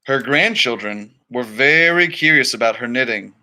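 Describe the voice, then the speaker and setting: Bright, animated man reading a storybook. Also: mid-range voice, normal speed. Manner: animated, dramatic phrasing. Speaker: storybook reader. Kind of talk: expressive children's read-aloud narration with casual asides.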